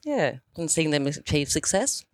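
A woman's voice: low voice, very animated, moderate pace, no echo. This is a woman, giving interview answers as a teacher. She comes across as earnest and thoughtful. The sound is dry, with hardly any room around her voice. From New Zealand.

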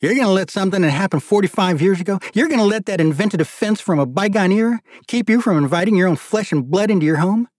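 A man's voice: medium pitch, unhurried, no echo, studio quality, lightly acted. A man voicing an agitated, impatient older brother with a thick Manhattan accent, whining and incredulous. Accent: New York (Manhattan). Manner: incredulous, whiny, exasperated.

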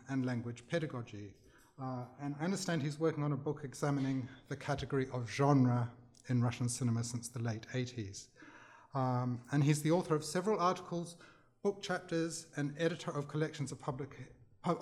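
A man's voice: mid-range voice, a little mellow. A man introducing a panel, speaking hesitantly with restarts and fillers. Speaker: panel chair.

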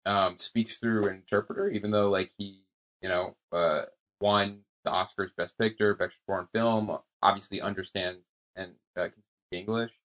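A man co-hosting a casual film discussion, musing in a halting way and leaning often on "like". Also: lowish voice, steady tone, a bit bright, poor audio. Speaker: film podcast co-host.

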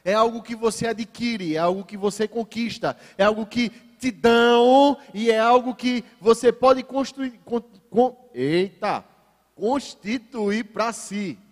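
Brief: male Brazilian pastor, high voice, sermon delivery with wry asides, hall reverb. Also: slow. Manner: varies pace for emphasis, ironic asides to the congregation. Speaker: preacher.

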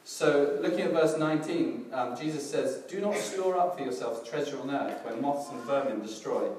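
A middle-aged man with a slightly hoarse, crackly voice, preaching a casual church sermon in a relaxed, self-deprecating way with many fillers and restarts. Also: medium pitch, normal speed, a crowd in the background. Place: church hall, in front of a congregation. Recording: distant, very echoey hall with background noise.

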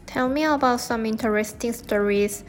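Woman asking steady interview questions. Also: a little high, moderate pace, soft background music.

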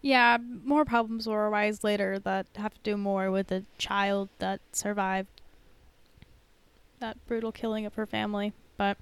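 A woman's voice: medium pitch, fairly even intonation, unhurried, smooth. A woman chatting casually as a podcast co-host, in an informal, hedging way peppered with "like". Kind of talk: casual podcast chat about TV shows.

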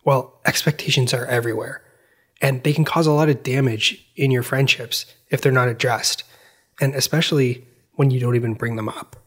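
Husky reflective male podcast host. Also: mid-range voice, steady tone, a bit bright. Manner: reflective, measured, personal. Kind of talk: scripted podcast narration.

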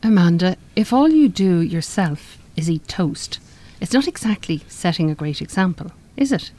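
A dry, lower-pitched Irish woman. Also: expressive, normal speed, mellow. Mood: pointed. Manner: dry, pointed, articulate. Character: dry, sarcastic, articulate woman, sensible and frank. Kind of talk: live radio play acting.

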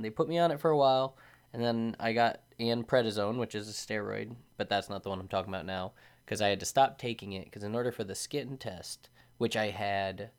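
A man hosts a solo podcast in a sleepy, drowsy voice. His voice sits a little low, and he rambles along casually, breaking off and restarting his sentences. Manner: sleepy, rambling, self-interrupting. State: drowsy from medication. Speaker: podcast host.

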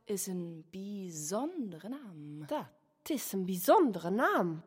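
A woman's voice: medium pitch, very animated, takes it slowly, slightly rough, exaggerated voice. A woman playing a cheerful, youngish fairytale witch in a family radio play, chanting and repeating her lines theatrically. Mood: intrigued, gentle. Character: younger-than-expected witch of a sweets house, cheerful, gentle. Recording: long hall-like reverb on voice.

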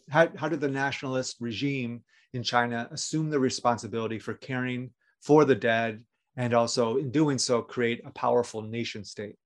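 A man hosting a podcast, speaking in the style of a prepared interview with introductions and questions. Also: medium pitch.

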